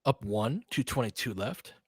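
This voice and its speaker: A man's voice: medium pitch, expressive, normal speed, slightly rough, dry recording, clean studio sound. A man giving live basketball play-by-play with informal, slangy fan reactions mixed into casual chat. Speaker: host of a fan play-by-play stream.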